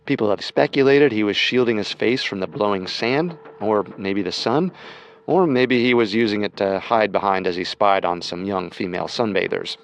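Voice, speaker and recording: A man co-hosting a scripted true-crime show, narrating in a measured storytelling manner. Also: on the low side, vocal fry. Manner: measured storytelling with rhetorical questions. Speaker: co-host narrator.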